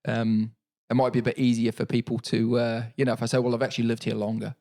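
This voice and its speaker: Gravelly New Zealand man, easygoing. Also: medium pitch, little pitch movement, fast talker, warm tone. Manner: easygoing, reflective, many fillers and restarts, laughs often. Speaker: guest, paralympic cyclist. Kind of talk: relaxed conversational interview.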